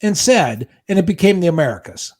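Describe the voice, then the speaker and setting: Older male podcast co-host in his sixties, pitched a bit high, with a lively, swooping delivery and an indignant, exasperated edge. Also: brisk. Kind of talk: casual podcast chat.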